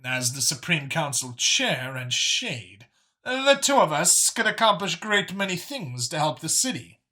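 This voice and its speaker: An imperious alien councilwoman from a science fiction audiobook, acted out by a man, pitched high and swinging widely in tone. She is confident and formal, leaning deliberately on her words with a bright edge. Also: very animated, full character voice. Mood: brisk, confiding. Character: imperious female alien official, confident, formal, free-thinking council chair.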